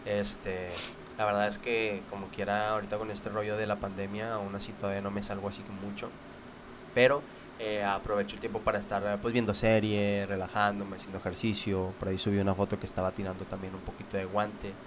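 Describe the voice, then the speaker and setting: A man's voice: on the low side, flat delivery, a little fast. A young man with a Mexican accent and a stuffy, congested nose, co-hosting a casual podcast in a laid-back, slangy way. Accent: Mexican Spanish. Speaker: podcast co-host. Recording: noticeable background noise.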